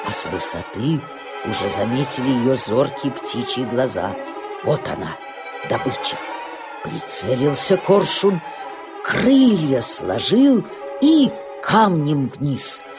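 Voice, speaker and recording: A woman storyteller narrating a scripted children's nature tale in an expressive, dramatic way. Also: low voice. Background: music.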